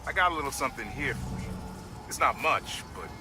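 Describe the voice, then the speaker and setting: Brief: actor as a gruff, plain-spoken subway worker in a game, lively pitch, loud score behind. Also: male voice, a little fast, music in the background, lightly acted. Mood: grateful. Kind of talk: video game cutscene acting.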